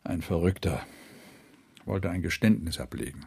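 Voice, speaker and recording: A man's voice: low voice, expressive, moderate pace, a little mellow, exaggerated voice. An actor playing a troubled, sleepless male murder investigator who broods and thinks aloud in a radio drama. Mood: brooding, weary. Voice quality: husky.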